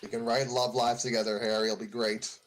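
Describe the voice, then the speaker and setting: A man's voice: medium pitch, level tone, brisk, rough recording. A man hosting a casual group podcast, chatting and reading fan fiction aloud.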